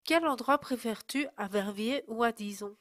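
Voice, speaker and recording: Woman voice-over, a bit high, dead dry sound, reads questions calmly. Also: no echo. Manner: clear, measured reading. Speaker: narrator and interviewer.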